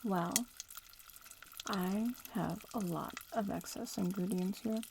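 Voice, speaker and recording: A woman playing a young bratty witch in training in an ASMR roleplay, murmuring casually and without hurry, slipping between soft speech and whispers. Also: medium pitch, steady tone, slow pace, vocal fry, noisy background.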